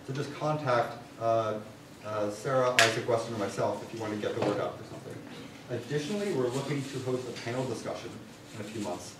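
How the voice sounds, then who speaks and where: A young man giving an informal student science presentation, with casual, wry asides. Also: mid-range voice. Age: young adult. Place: university lecture hall. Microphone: far camera. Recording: distant room mic, very echoey, clear noise.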